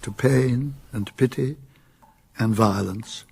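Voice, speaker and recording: A British man narrating a literary radio drama in a husky voice, solemn and measured. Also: mid-range voice, a little mellow, full character voice. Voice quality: husky, creaky.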